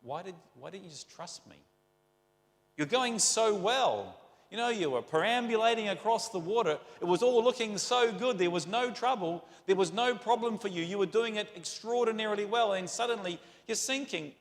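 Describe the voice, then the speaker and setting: A man voicing a gently reproaching Jesus. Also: high voice, animated, normal speed, in a large hall, pitched above the performer's own voice. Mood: gently reproachful. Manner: earnest, coaxing, rising with emphasis.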